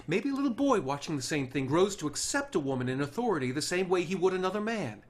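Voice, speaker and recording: A man playing an idealistic, charming, swaggering TV writer in a screenplay table read. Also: fairly high pitch.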